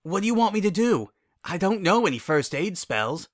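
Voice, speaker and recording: An anxious, reluctant stallion unicorn played by a man from a cartoon pony story. He speaks hesitantly, a little higher than the narrator. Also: big pitch swings, normal speed. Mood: nervous. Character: nervous, reluctant young male unicorn pony.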